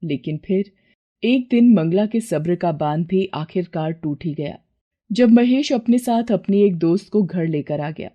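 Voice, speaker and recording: A woman narrating a scripted cartoon moral story in a steady, measured way. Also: on the low side, dull audio.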